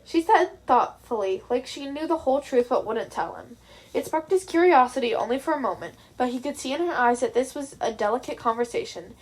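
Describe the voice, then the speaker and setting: A woman with a fairly high voice reads a story aloud. She is an amateur audiobook narrator, moving between scripted prose and casual asides to listeners. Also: lively intonation, fairly quick, breathy edge, poor audio. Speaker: amateur fan fiction audiobook reader.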